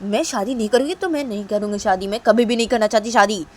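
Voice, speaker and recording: A woman voicing a stubborn young sister who refuses to marry, talking high and loud in indignant protest. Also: fast, natural voice.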